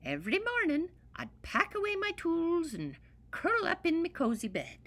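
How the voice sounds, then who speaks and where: A woman's voice: very high-pitched, moderate pace, pitched above the performer's own voice, heavily acted. Woman doing a grumpy Irish leprechaun. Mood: grumbling. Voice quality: brogue-y dialect, very lively sing-song delivery. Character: grumpy old male leprechaun shoemaker, tiny, hoards gold. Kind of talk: storybook narration.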